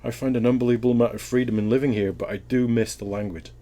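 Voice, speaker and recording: A man's voice: mid-range voice, fairly even intonation, racing, dark tone. A Welsh man answering interview questions in a casual, thoughtful way, often rambling and restarting his sentences. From Wales.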